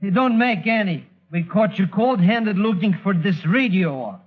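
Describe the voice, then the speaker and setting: High-voiced, excitable bumbling foreign sidekick. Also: male voice, moderate pace. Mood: indignant, confused. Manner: garbles idioms and grammar, exclaims and questions. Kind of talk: 1940s radio drama acting. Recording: muffled vintage radio, phone-like band, music.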